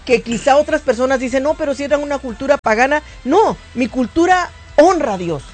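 A woman's voice: sits high, lively intonation, fairly quick, a little mellow, soft background music. Fervent woman pastor declaring blessings. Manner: fervent, declaring, with stumbling restarts. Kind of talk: church talk program.

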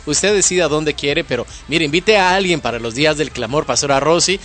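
A man's voice: on the high side, fairly quick, fairly bright tone. A man on a church program, upbeat as he announces details of an upcoming event.

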